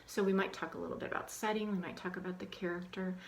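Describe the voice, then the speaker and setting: A woman's voice: on the low side, fast, slight background noise. Chatty woman explaining reading-aloud tips. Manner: conversational, many ums, acts out questions to children in a hushed, animated voice. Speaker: teacher trainer. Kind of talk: instructional talk on reading aloud.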